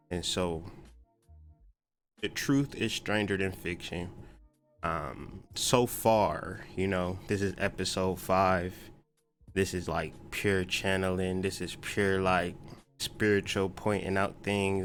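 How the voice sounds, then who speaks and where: A man with a deep voice hosts a casual livestream podcast. He talks in a laid-back, slangy way and drops the odd swear word, with faint music underneath. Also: fairly bright tone.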